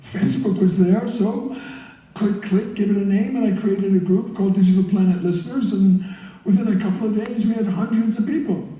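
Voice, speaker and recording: A man with a high but very soft, dark-toned voice gives earnest, enthusiastic interview answers. He is heard from a distance in a heavily reverberant hall. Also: fairly even intonation, fairly quick. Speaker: guest, listener group founder. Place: heard through theatre speakers by live link. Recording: very echoey hall, far from mic.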